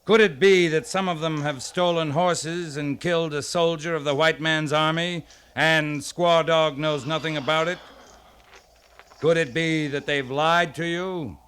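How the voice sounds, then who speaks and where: An actor playing a stern, shrewd US cavalry captain with a commanding, measured manner, in a 1950s radio western. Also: male voice, on the high side, fairly even intonation, fairly bright tone, smooth. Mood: scornful, pressing. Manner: commanding, measured, pointed questioning.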